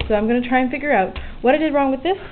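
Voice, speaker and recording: A woman's voice: sits high, rapid-fire. A woman giving an informal, self-deprecating, unscripted show-and-tell of a hobby project, a little sweary. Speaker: hobbyist vlogger showing an electronics project. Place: small home work area by an open window. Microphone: handheld camera's built-in mic. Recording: tinny, band-limited camera audio with hiss. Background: faint outdoor weather ambience.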